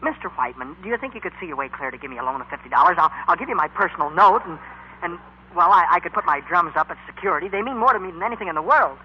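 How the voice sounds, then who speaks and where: Excitable high-voiced teenage boy, old radio. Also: very fast, husky. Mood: earnest, pleading. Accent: American. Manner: excitable, earnest, stammering hesitations. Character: eager, earnest teenage boy drummer and bandleader. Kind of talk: 1940s radio drama acting. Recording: muffled, noisy 1940s radio recording.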